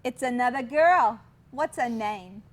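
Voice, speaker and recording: An actress playing a reassuring, take-charge older hand at childbirth in a stage play. She coaches plainly, with a high voice that moves freely up and down. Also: lively intonation, unhurried. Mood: tender, moved. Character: reassuring, take-charge mother of six delivering a baby.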